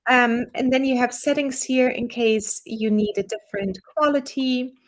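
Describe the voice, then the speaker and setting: A woman with a German accent, the owner of a small software company, walking viewers through a software tutorial in a relaxed, chatty way with fillers. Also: fairly high pitch, normal speed. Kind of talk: screen-share software tutorial.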